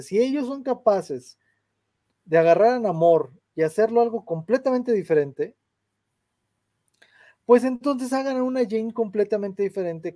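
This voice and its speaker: A young man with a Mexican Spanish accent rants in fast, slangy talk, swearing as he goes. His voice sits high but sounds mellow and soft-edged. The recording is dry, with no room echo. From Mexico, San Luis Potosí. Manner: slangy, ranting, swears freely. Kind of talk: casual chat, film rant.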